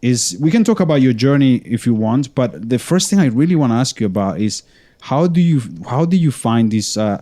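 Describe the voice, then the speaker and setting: Mellow low-voiced man, easygoing host. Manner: easygoing, thoughtful, asks long rambling questions. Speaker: podcast host. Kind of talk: casual interview.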